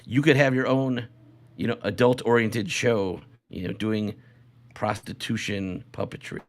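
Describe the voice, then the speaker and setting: A man hosting a casual, comedic podcast, talking in a halting way with repeated and restarted phrases. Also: on the low side, fairly even intonation. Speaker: show host.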